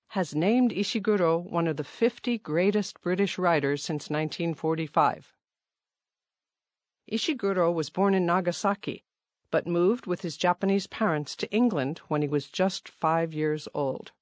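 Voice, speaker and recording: A woman reads a listening-exam passage in a slow, careful, even delivery. The sound is dry and close, with no room around it. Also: lowish voice, dry recording. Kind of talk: scripted narration.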